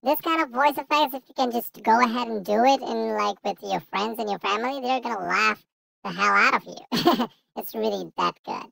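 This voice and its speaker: A man voicing a baby through a pitch-raising voice-changer effect. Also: normal speed, vocal fry, pushed and bright, dry recording, full character voice, poor audio. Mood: amused demo. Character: baby, small child with high voice.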